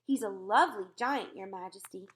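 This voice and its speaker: A woman voicing an earnest, courteous little girl in a storybook read-aloud, calm and friendly. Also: mid-range voice, normal speed, breathy edge, lightly acted. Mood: eager, reassuring.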